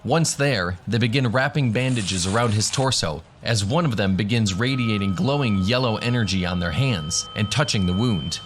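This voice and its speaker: A man's voice: on the low side, moderate pace, hardly any pauses, slight background noise. A man narrating a scripted fantasy adventure as the game master of a radio drama.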